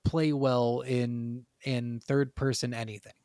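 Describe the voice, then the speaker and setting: A man's voice: medium pitch, flat delivery, slow pace, darkish tone, no room sound, clean studio sound. A young man co-hosting a gaming podcast, chatting casually in an opinionated, sweary way. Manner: opinionated, profanity-laced. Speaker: podcast co-host.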